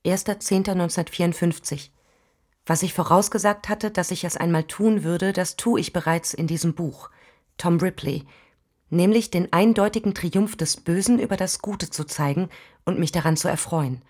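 Radio play: actress as woman writer, low voice, measured intimate confession, longing edged with cool menace. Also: a little mellow, close to an everyday voice. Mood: coolly triumphant. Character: woman novelist diarist, obsessive, coolly menacing, longing. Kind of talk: radio drama diary monologue.

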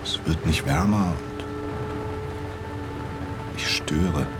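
An actor playing a brooding, depressed man in an audio drama, speaking in a halting, introspective way. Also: extremely low pitch, over loud music, heavily acted. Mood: heavy, melancholic. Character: brooding, depressed man stranded in the wilderness, prone to agitation. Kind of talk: German audio drama acting.

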